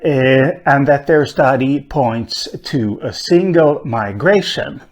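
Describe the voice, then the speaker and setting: A middle-aged man from Sweden, a linguist lecturing at a whiteboard in a room with bookshelves, speaking in a measured way with frequent pauses. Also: medium pitch. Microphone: camera at medium distance.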